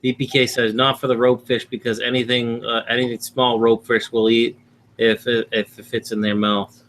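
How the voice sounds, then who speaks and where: Male stream host, bright tone, rambling, filler-heavy chat reader. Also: mid-range voice, flat delivery, moderate pace, slight background noise. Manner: rambling, reads comments aloud with fillers. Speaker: livestream host reading viewer chat. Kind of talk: casual live-stream chat. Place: home in Florida.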